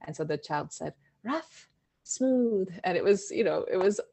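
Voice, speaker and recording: A woman, an education director, answering interview questions in a relaxed storytelling way, with fillers and restarts. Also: medium pitch, a little slow, gravelly, breathy edge. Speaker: Montessori education director, guest.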